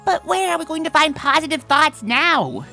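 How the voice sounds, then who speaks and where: A woman's voice: sits high, expressive, normal speed, sharp tone, faint music behind, exaggerated voice. A woman voicing a brash, cocky young female pony hero in a comic dub, boastful, impatient and dramatic. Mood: dejected, determined.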